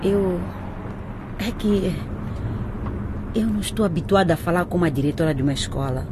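A woman's voice: on the low side, moderate pace, over music, lightly acted. A woman playing a humble, anxious mother in a radio drama, pleading hesitantly and with deference for her daughter. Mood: tearful pleading. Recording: clear ambient vehicle noise behind.

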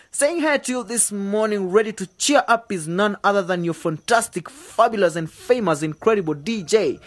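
Cocky, animated young DJ guy, played by an actor. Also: mid-range voice, natural voice. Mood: hyped, showy. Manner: showy, slangy, animated. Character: cocky, excitable young village man rehearsing as radio DJ. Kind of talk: radio drama dialogue with hyped DJ patter.

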